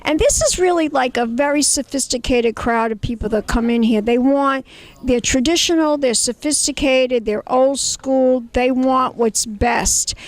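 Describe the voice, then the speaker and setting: A woman's voice: high-pitched, measured pace, dry recording. A woman from Brooklyn interviewing on location as a TV host, with a gushing, emphatic manner. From Brooklyn, New York, United States. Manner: gushing, emphatic, calls people darling. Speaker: TV host interviewing restaurant staff. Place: inside a restaurant.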